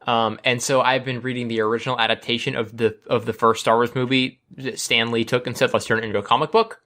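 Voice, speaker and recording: A man's voice: medium pitch, flat delivery, fast talker, a bit bright. A man chatting casually and informally as a podcast host. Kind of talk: casual chat.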